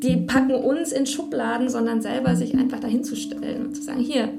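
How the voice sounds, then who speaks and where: A woman's voice: on the high side, steady tone, a little fast, creaky. A woman in spontaneous discussion, speaking emphatically in loose phrases and repeating words. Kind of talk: spontaneous discussion about images. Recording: loud music behind the voice. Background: loud music.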